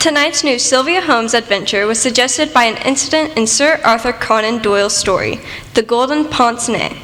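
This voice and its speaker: A woman's voice: fairly high pitch, normal speed, noisy background, natural voice. A woman playing an old-time radio show announcer, reading in a formal scripted style. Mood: formal, inviting. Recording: long reverb, music underneath.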